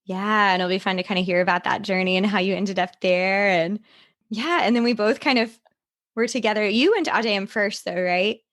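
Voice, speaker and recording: A woman's voice: mid-range voice, brisk, fairly bright tone. A woman hosting a podcast in an enthusiastic, friendly way, with a slightly scratchy voice. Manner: enthusiastic, gushing. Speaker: podcast host. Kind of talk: casual friendly interview chat.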